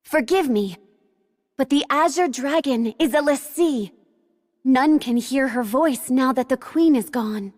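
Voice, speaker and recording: An actress playing a deferential royal attendant in a video game, speaking formally. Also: female voice, high-pitched, slow pace, a little mellow. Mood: anxious, respectful. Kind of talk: dramatic video game acting. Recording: long hall reverb.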